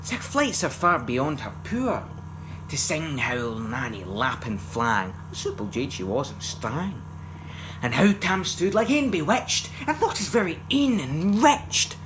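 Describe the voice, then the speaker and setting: A Scottish man recites narrative verse in a theatrical, expressive manner. His pitch moves widely and his pacing is unhurried. The recording carries clear background noise. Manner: theatrical, expressive, swinging from hushed to loud. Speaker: poetry reciter. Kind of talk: dramatic recitation of a Scots narrative poem.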